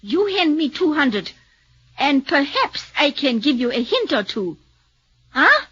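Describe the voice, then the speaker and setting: A woman's voice: high-pitched, sing-song, measured pace, a bit bright, heavily acted. An actress playing a scheming, vengeful woman in 1940s radio drama, brazen, emphatic and melodramatic. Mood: sly, gloating. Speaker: radio actor. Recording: dull 1940s radio recording.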